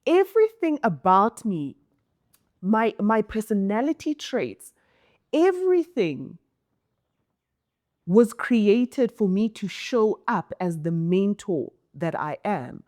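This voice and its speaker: A South African woman, a mentor and entrepreneur, answering an interview question in a low, commanding voice, emphatic and often repeating her words. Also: darkish tone.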